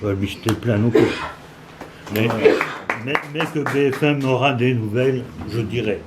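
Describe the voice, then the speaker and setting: A man in his seventies from Normandy with a Cauchois accent, reading a story aloud in dialect, halting at times with restarts and asides. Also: mid-range voice, heavy background noise. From Normandy, France. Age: 70s.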